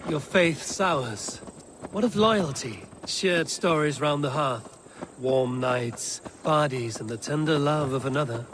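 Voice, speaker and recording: An actor playing a loyal, determined young Norse raider in a video game, speaking tersely and solemnly. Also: male voice, a little high, slow, background noise. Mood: earnest. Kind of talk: dramatic video game acting.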